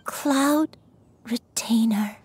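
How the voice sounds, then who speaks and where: An actress playing a gentle, sorrowful grandmother in a video game, with a tender, wistful delivery. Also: on the high side, very slow, heavy background noise. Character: sorrowful, gentle grandmother regaining lost memories.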